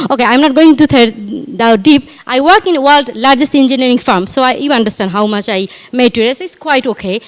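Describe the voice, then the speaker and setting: A middle-aged woman from Bangladesh with a Bangladeshi accent, giving an earnest personal speech at a community event, halting and repeating herself as she speaks to the audience. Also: fairly high pitch, long reverb tail. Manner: earnest, repetitive, halting, addresses audience directly. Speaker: guest speaker. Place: community hall.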